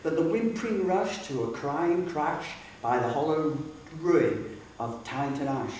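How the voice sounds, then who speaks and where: A woman giving a dramatic recital of narrative poetry in a hall, with measured, deliberate phrasing. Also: low-pitched, animated, a little mellow. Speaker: poetry reader. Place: public hall. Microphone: distant microphone. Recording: very echoey hall, distant mic, faint hiss.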